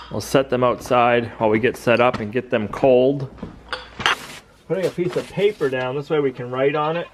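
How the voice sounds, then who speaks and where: A man's voice: mid-range voice, noisy background. Chatty man narrating a homestead vlog. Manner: chatty, explains step by step. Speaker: homestead vlogger. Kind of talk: casual how-to vlog narration. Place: outdoors on a farm in winter.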